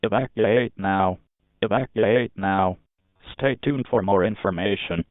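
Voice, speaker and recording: A man reading a scripted emergency alert in a flat, formal, mechanical manner as an announcer. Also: fairly low pitch, unhurried, no room sound. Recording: tinny, phone-like alert broadcast audio.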